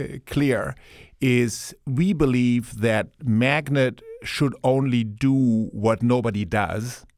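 Brief: middle-aged man, halting panel speaker, dry close sound. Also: mid-range voice, dry recording. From Germany. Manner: earnest, thinks aloud with many fillers. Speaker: panel guest, manufacturing advocate. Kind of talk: panel discussion.

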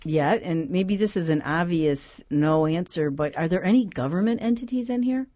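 A woman hosting a call-in radio talk show, reading out the station phone number and email in a brisk announcer cadence. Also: on the low side, dark tone. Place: radio studio.

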